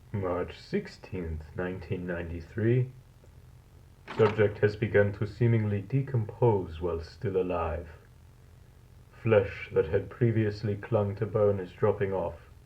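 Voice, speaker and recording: A man playing a cold, fascinated scientist in a horror audio log, speaking in a measured, formal, clinical way. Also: sits low, warm tone. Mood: clinical detachment. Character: cold, fascinated male scientist logging a monstrous experiment. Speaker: narrator of a found-footage audio log. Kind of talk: scripted horror narration, dated lab log. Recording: dull lo-fi tape recording.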